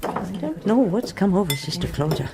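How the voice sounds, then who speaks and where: An actress playing a stern, loyal nun who scolds in a brisk way. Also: female voice, fairly low pitch, expressive, close to an everyday voice. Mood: scolding. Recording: noisy, with clinking dishes.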